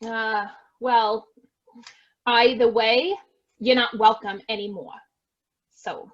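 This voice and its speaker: A woman's voice: fairly high pitch, steady tone, takes it slowly, a bit bright, dull audio. A woman playing a defensive, melodramatic estate heiress in an amateur online stage farce, theatrical and exclaiming. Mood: curt, haughty. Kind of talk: amateur stage farce acting over video.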